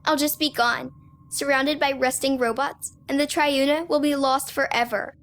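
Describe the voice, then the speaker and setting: A woman's voice: sits high, fairly even intonation, normal speed, fairly bright tone. A woman playing a lonely, weary young girl in a video game, speaking in a plaintive, solemn way. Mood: despairing, lonely. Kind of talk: video game character acting.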